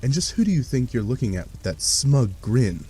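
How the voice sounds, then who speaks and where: A man's voice: medium pitch, very animated, normal speed, mellow, natural voice. A man playing a wry, exasperated game narrator, delivering scripted comic narration in a sardonic manner.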